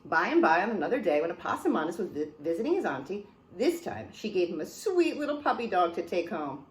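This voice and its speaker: Lady doing a kids storytime read-aloud, super lively up and down voice, playful asides. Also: fairly low pitch, fast. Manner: animated, playful asides to listeners. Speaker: storyteller reading a picture book.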